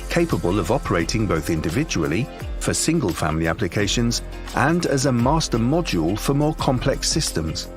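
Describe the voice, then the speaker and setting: A man reading scripted corporate product narration in a measured, formal presentational manner. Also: lowish voice, sing-song, faint music behind. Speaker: promotional video narrator.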